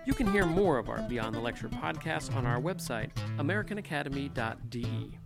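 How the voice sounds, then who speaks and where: A man hosting a podcast and reading a measured, scripted narration that links the interview clips. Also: medium pitch, expressive, gravelly, over loud music. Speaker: podcast host.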